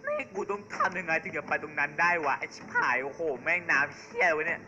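Loud, crude man dubbing cartoon. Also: on the high side, fairly even intonation, fast talker. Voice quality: loud and crude, switching between character lines and swearing. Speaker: dubber of a cartoon. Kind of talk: comic fan dub with cursing. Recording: dull lo-fi sound with music.

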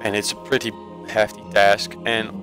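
Dutch guy doing a game tutorial, lowish monotone, lots of uhs, faint music behind. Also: moderate pace. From Netherlands. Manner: hesitant, many uh fillers and self-corrections. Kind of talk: casual screen-recorded tutorial voice-over.

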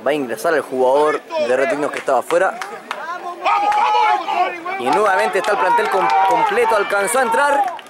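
A man with a Chilean Spanish accent giving live play-by-play commentary on a rugby match outdoors, quick and excited at the big moments. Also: over a noisy crowd, very noisy. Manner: quick, excited calls of the action, pitch jumping up on big moments.

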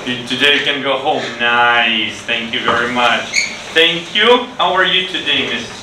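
A middle-aged man speaking as an English teacher, with deliberate, clearly enunciated delivery. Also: mid-range voice, background noise. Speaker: ESL teacher and video host. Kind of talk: presenting to camera and leading a children's class.

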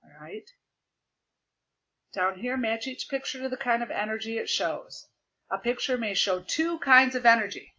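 A woman teacher projecting her voice loudly as she explains a lesson step by step over a video call, repeating the key points. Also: on the high side, expressive, normal speed, dull audio. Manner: loud projected voice. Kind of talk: remote classroom lesson. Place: home desk with a document camera. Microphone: computer mic on a video call.

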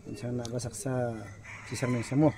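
A man interviewing outdoors by a pond, asking brisk questions and often acknowledging with "acha". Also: mid-range voice, little pitch movement, a crowd in the background. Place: outdoors by a rural fish pond.

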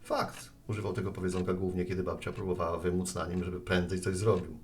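A man playing a friendly, hurried mail carrier in a radio play, chatting in a conversational way. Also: low-pitched, flat delivery, close to an everyday voice. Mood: reflective. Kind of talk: Polish radio play dialogue.

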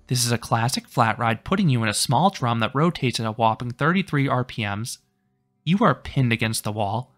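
A man's voice: medium pitch, fairly bright tone. A man giving a scripted theme park review in a matter-of-fact, informative way, recorded as a voice-over. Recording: voice-over with faint music behind. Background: faint music.

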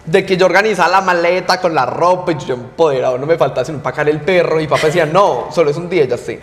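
A man's voice: a little high, quick pace. A young Colombian man with a paisa accent telling personal stories in casual chat, animatedly acting out what people said. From Medellín, Colombia. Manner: animated, acts out quoted remarks. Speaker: podcast guest, content creator. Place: small indoor podcast studio. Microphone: close boom-arm microphone. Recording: echoey room, faint music.

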